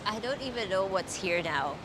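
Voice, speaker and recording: A woman's voice: mid-range voice, animated, normal speed, background noise. A woman guiding a casual, upbeat walking tour of street art outdoors.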